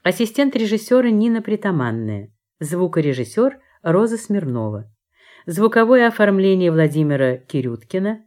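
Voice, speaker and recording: A woman's voice: lowish voice, sing-song, normal speed, darkish tone. A woman announcer reading scripted credits for a radio drama in a formal broadcast style.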